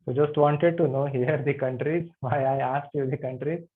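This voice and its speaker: A man's voice: medium pitch, steady tone, normal speed. A man with an Indian accent teaching an online yoga anatomy class, friendly and asking his students questions. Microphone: video call. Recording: tinny video call audio.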